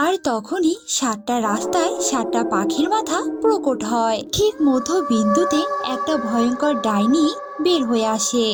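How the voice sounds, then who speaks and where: A woman narrating a scripted cartoon folk tale as storyteller. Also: sits high.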